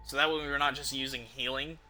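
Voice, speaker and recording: Male game streamer, sharp bright tone, medium pitch, casual, halting, thinking aloud. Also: steady tone, soft background music. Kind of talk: casual role-play game commentary.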